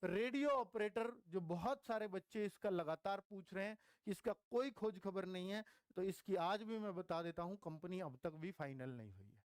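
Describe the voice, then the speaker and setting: A man's voice: sits high, moderate pace, few pauses, smooth, no echo. A man, an exam coach explaining things informally to students and repeating key points for emphasis. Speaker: exam coach teaching online.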